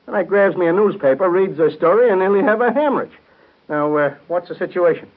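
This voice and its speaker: An actor playing a brash, slangy show-business agent in a 1930s American radio soap, blustering and insistent. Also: male voice, high voice, a little fast, natural voice. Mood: brash, demanding. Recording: tinny, band-limited 1930s radio recording.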